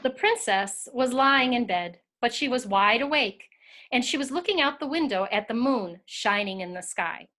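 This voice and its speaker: A woman narrates a storybook tale from a script. Her voice sits a little high, and she reads as a storyteller. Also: lively intonation, moderate pace, sharp tone.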